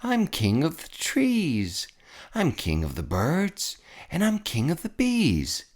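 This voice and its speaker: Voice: mid-range voice, wildly swooping pitch, slow, voice pushed up, full character voice. A storyteller voicing a boastful, tyrannical turtle king with a pompous, bellowing, commanding manner for a children's picture book. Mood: triumphant.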